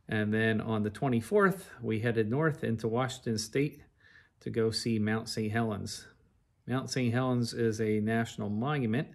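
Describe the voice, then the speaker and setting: A middle-aged man narrating a travel slide show in a conversational, orderly and detailed way. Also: lowish voice. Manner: recounts in an orderly, chronological, detailed way.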